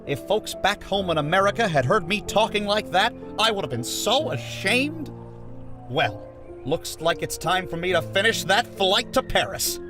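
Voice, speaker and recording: A man's voice: high-pitched, very animated, normal speed, over music. A man playing an earnest, patriotic young American aviator in a radio adventure serial, sincere and wholesome. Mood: grateful, sheepish.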